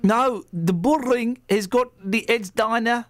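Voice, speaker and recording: A man's voice: very high voice, measured pace, no echo. A man hosting a radio show, talking bluntly and casually with frequent restarts. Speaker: radio presenter.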